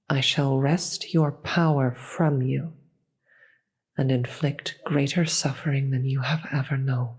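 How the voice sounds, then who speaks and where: A performer voicing a regal, solemn demon queen in a fantasy audio roleplay, speaking formally and gravely in a breathy voice that often drops into a whisper. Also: mid-range voice, a little mellow. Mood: stern. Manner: slow, formal, measured, grave.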